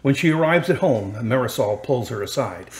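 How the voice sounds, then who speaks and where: A man's voice: medium pitch, expressive, moderate pace, a little hiss or hum, rough recording. A man reading scripted narration for a radio drama as its narrator. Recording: music under the narration. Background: music.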